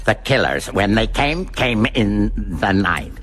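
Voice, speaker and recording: An actor playing a cold, boastful, menacing criminal mastermind in a radio drama, with theatrical, deliberate delivery. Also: male voice, fairly low pitch, sing-song, a bit bright, slight background noise, full character voice. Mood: proud, chilling. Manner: slow, deliberate, theatrical, grandiose.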